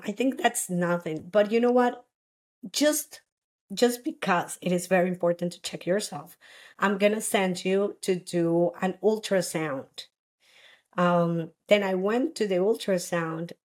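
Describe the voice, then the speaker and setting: Woman from Costa Rica, thirties. Earnest interview guest, halting delivery with frequent restarts. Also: mid-range voice. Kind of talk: personal story in an interview.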